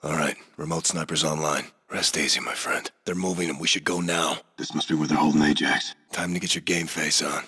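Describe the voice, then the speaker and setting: Low, husky special forces operative. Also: male voice, fairly even intonation, fairly quick, sharp tone, big-room sound, exaggerated voice. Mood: terse, focused. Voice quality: gravelly, husky. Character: terse, grim special forces operative on a mission. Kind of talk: military shooter voice lines.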